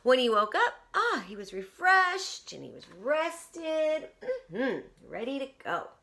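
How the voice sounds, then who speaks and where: Animated, whisper-dropping woman reading a story. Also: high-pitched, takes it slowly. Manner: dramatic, drops into hushed whispers, coaxes listeners with questions. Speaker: teacher reading aloud to young children.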